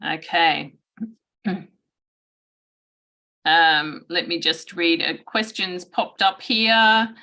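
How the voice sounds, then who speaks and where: Unhurried, bright-voiced woman lecturing. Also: lowish voice. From Australia. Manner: slow, measured, clears throat often. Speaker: guest lecturer. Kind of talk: online guest lecture.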